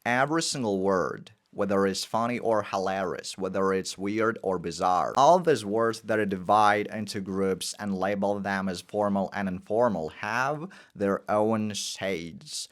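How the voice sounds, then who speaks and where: Energetic man. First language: Russian. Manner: energetic, with sudden emphatic pitch jumps. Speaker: English teacher. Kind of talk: teaching monologue.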